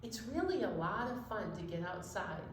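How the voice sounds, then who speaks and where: A very reverberant room with slight background noise surrounds a woman's voice. Her pitch is average, and she chats simply to children as a storytime co-host. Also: big pitch swings, normal speed. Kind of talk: friendly chat for children. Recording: very echoey room, faint noise.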